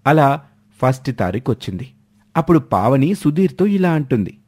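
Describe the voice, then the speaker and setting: A man works as a storyteller, delivering scripted narration. His voice sits at a medium pitch. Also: very animated, moderate pace, darkish tone, breathy edge.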